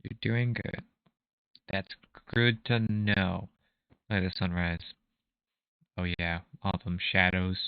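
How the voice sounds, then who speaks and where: A man's voice: low-pitched, slow, long pauses, clean tone, no room sound. A young man from Minnesota streaming a game, joking dryly while he plays. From USA, Minnesota. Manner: dry, joking, talks while playing. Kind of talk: casual gaming stream chat. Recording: muffled lo-fi mic.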